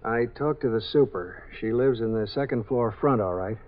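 Actor doing a regular cop detective, polite and plain, muffled old radio. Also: male voice, medium pitch, moderate pace, natural voice. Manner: plain, deferential reporting. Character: dutiful police detective on stakeout. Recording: muffled 1950s radio broadcast.

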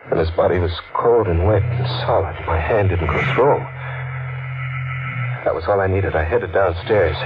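Actor as deep-voiced, haunted, guilty killer. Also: male voice, natural voice. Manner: hesitant, haunted, clipped phrases. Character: desperate, scheming man, a guilty killer confessing his crime. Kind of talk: 1940s radio mystery acting, first-person narration. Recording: dull vintage radio recording, loud music.

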